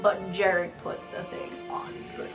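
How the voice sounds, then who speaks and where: A woman's voice: mid-range voice, level tone, moderate pace, over music. A woman playing a tabletop role-playing game, chatting casually in a blunt, sweary way.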